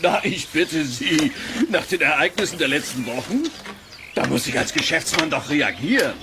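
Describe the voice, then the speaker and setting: A man's voice: a little high, expressive, moderate pace, noisy background, close to an everyday voice. An actor playing a pushy, boastful lakeside innkeeper with a dramatic, coaxing salesman's manner in a children's radio play. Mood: jovial.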